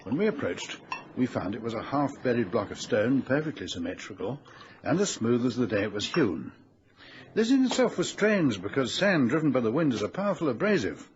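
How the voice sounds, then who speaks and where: A man acts out a learned, knighted gentleman archaeologist for a radio drama. His delivery is formal and precise, with wide swings of intonation. The sound is dull, with faint noise behind it. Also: medium pitch, big pitch swings, brisk, close to an everyday voice. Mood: absorbed, explanatory. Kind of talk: period radio drama acting.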